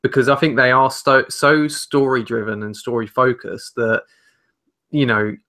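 A man's voice: mid-range voice, level tone, measured pace, pushed and bright. A young man co-hosting a podcast, talking casually and carefully qualifying his points. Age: young adult. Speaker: podcast co-host. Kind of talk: casual discussion. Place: home room with shelves.

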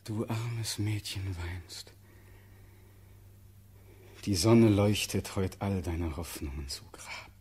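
A young man acting a desperate condemned prince pleading for his life in a classical verse radio drama, impassioned and declamatory, swinging from hush to outcry. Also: high voice, lively intonation, takes it slowly, long pauses, breathy edge, full character voice. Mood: sorrowful, tender.

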